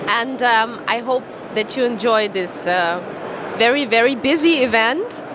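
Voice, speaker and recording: Woman interviewer, friendly and encouraging, stacks questions; slightly high voice, outdoor traffic noise behind. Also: animated, measured pace. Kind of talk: casual interview. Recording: loud street noise behind.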